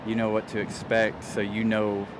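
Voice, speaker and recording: A man hosting a livestream, chatting in a relaxed way and asking his guest questions. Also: lowish voice, normal speed, background noise. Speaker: livestream host. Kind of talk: casual livestream chat.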